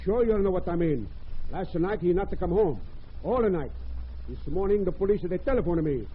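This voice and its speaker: An indignant immigrant father speaks broken English in a high, excitable, swooping voice. It is a muffled old radio drama recording. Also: brisk, a little hiss or hum. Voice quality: broken English with foreign-sounding grammar.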